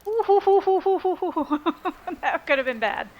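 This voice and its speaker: A woman's voice: extremely high pitch, lively intonation, moderate pace, lo-fi sound. A woman giving casual gameplay commentary, playfully exclaiming and talking to herself and the game. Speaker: video game streamer. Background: game music and sound effects.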